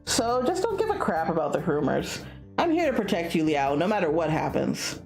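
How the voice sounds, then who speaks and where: Woman playing a breezy, protective young senior guy, animated. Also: lowish voice, moderate pace, creaky, echoey room, faint music behind. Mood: devoted, teasing.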